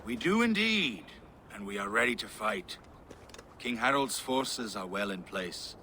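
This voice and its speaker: An actor playing a battle-ready Viking ally in a video game, reporting in brisk, clipped phrases. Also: male voice, lowish voice, expressive, pushed and bright, a little hiss or hum. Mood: ready, loyal. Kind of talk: video game cutscene acting.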